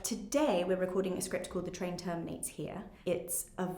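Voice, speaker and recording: A woman's voice: fairly low pitch, brisk, dark tone, reverberant. A young woman with an English accent talking casually and enthusiastically in an interview as an actress. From England.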